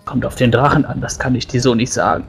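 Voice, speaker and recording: A man with a mid-pitched voice speaks in character during a tabletop fantasy game, explaining as he goes. The recording has faint noise behind him. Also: fairly even intonation, fast talker, vocal fry. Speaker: tabletop role-player.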